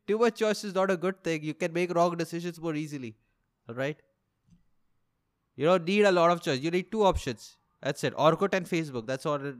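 A young man with an Indian accent rambling casually as a solo comedy podcast host, sweary and self-deprecating, with a complaining delivery. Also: fairly high pitch. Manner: self-deprecating, sweary, repeats and rephrases himself.

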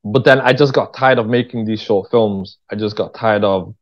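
A man's voice: fairly low pitch, normal speed. A British man telling stories as an interview guest in a casual, candid, slangy way. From England. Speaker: interview guest, writer-director. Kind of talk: casual conversational storytelling. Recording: dull, lo-fi voice recording.

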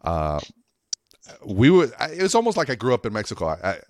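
A man's voice: medium pitch, huge pitch swings, fairly quick, no echo. A man from the Reno area of the United States, a stand-up comedian chatting casually on a podcast in a rambling, earnest, slangy way with some swearing. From United States, Lake Tahoe and Reno area of Nevada. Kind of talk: casual podcast chat, anecdotal.

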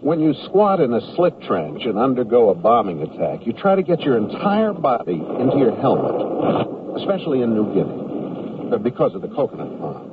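A man's voice: mid-range voice, wildly swooping pitch, moderate pace. A man playing a wry, matter-of-fact American war correspondent in a 1970s radio comedy. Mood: dry, wry. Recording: tinny, very noisy old radio recording.

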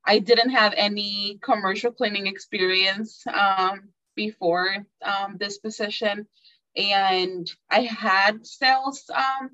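A woman's voice: medium pitch, steady tone, bright, forceful tone, no room sound, dull audio. A woman from El Salvador, a native Spanish speaker, speaking as a guest and searching for her words. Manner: slow, hesitant, searching for words, laughs shyly. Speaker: team member, guest.

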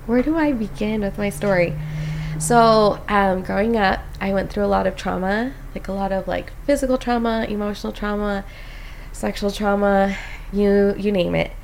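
A woman's voice: medium pitch, normal speed, gravelly, slight background noise. A young woman from Costa Rica, a postpartum doula, telling her story in a casual chat, full of "like" and "um". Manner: animated storyteller, many "like"s and "um"s.

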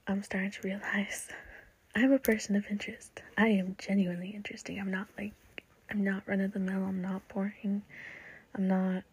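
A woman's voice: medium pitch, level tone, normal speed, husky, low-quality recording. A young woman hosting her own podcast, talking in a whispery voice in a blunt, profane, rambling way full of fillers. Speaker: podcast host. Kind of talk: casual solo podcast talk.

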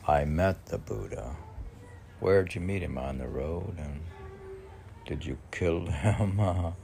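Male poet, very low gravelly voice, rambling self-questioning delivery, halting and teasing, faint background noise. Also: mellow. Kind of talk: rambling solo poetry reading and commentary.

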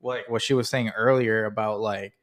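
A man's voice: on the low side, fairly even intonation, normal speed. Rambling young man giving advice. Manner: rambling, filler-heavy "like, you know". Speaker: podcast co-host. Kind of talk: casual chat, giving advice.

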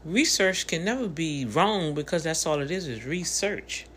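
A woman's voice: low voice, expressive, normal speed, a little hiss or hum. A woman in her fifties giving a casual motivational talk, conversational and preachy, speaking straight to her listeners. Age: 50s.

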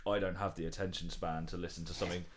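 A man co-hosting a podcast, reviewing albums in relaxed, rambling chat, his voice lower than usual after a night of drinking. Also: quick pace, slight background noise. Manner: relaxed, rambling, repeats words when starting sentences. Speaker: podcast co-host. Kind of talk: casual music review chat.

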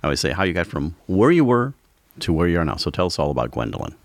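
A very deep-voiced man co-hosts an arts radio program. He reads sponsor credits and reminders in a brisk announcer style, with a dry, close sound. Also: sing-song, dry recording. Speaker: radio show co-host.

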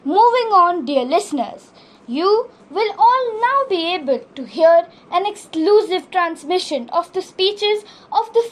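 A woman playing a formal radio news presenter, reading a scripted broadcast to listeners. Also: very high-pitched.

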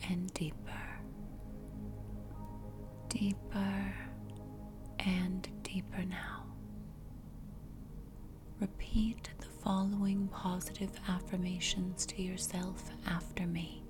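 Very slow whispering woman hypnotist. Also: on the low side. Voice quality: breathy unvoiced whisper. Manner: slow, soothing, repetitive, with long pauses. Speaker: hypnotist guiding sleep session. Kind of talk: ASMR whispered guided hypnosis. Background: music.

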